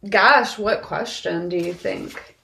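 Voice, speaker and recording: Female podcast co-host: unhurried, creaky low-ish voice, blunt and opinionated in casual film chat. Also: lively intonation, pushed and bright, poor audio.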